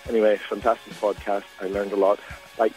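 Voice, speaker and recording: A man with a Northern Irish accent leaves a reflective message, his voice sitting somewhat low. He speaks earnestly and at an even pace. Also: a little fast, over music, low-quality recording. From Northern Ireland. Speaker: caller.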